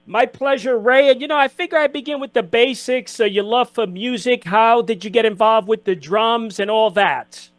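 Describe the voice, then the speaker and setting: A man's voice: very high voice, normal speed, hardly any pauses, dry recording. A man hosting a live radio talk show, greeting listeners and interviewing a guest with an upbeat announcer delivery.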